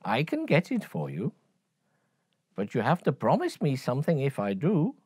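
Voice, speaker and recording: A woman voicing a male frog in a storybook tale, with a creaky voice that begs and coaxes. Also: a bass voice, wildly swooping pitch, normal speed, darkish tone, no echo, pitched above the performer's own voice, heavily acted. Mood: coaxing.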